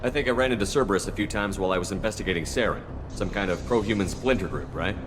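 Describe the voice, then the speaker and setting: Low, resolute military commander played by a man. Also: quick pace, noisy background, lightly acted. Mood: firm, wary. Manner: terse, firm. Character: resolute, blunt military commander, a male soldier hero. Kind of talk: dramatic video game acting. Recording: music under the voice.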